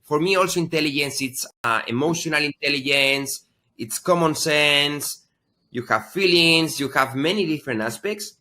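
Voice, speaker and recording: A man's voice: a little high, normal speed. A man with a slight Spanish accent, a guest in an interview, speaking loosely and filling his sentences with "like" and "I mean". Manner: relaxed, loose phrasing with many "like" and "I mean". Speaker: guest AI expert. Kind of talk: interview conversation.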